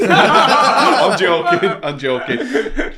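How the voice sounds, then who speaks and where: A man's voice: high-pitched, wildly swooping pitch, over a noisy crowd. A man from St Helens, hosting a casual comedy podcast and laughing often. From St Helens, England. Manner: jokey, apologetic, quick to laugh. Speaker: podcast host. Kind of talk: casual chat.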